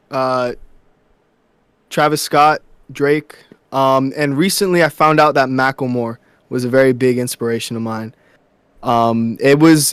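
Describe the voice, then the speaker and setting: A young man from New York, a musician guest in a casual interview, talking softly and haltingly with many fillers and restarts. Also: medium pitch, clean tone, no echo.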